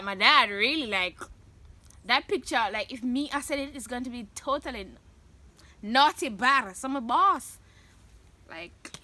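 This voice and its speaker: A young Jamaican woman hosting her vlog, chatting animatedly and breaking into loud laughs and shouts. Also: sits high. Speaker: vlogger host. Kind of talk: casual chat answering questions.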